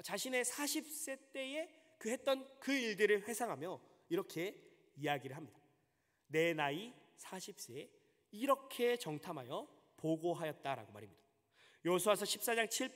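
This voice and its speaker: A man in his thirties, a pastor, preaching a sermon earnestly and asking rhetorical questions. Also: high voice. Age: 30s. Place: church sanctuary. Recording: long hall reverb.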